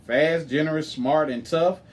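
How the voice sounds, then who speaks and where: A man's voice: fairly high pitch, a little slow, lightly acted. A man reading the part of a guarded, determined boy on an adventure team in a storybook.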